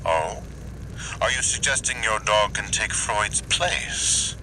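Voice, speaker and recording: An actor playing a haughty, condescending corporate squad commander in a video game briefing, with pompous, clipped formal diction. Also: male voice, on the low side, slow pace, pushed and bright, slight background noise, full character voice, rough recording. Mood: contemptuous.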